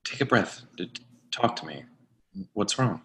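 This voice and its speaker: Casting: male actor as awkward lovesick everyman, lowish bright voice, exasperated, halting, deadpan in a stage comedy. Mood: gentle, concerned. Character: reluctant, exasperated lovesick man, awkwardly supportive. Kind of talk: readers-theatre comedy-drama acting.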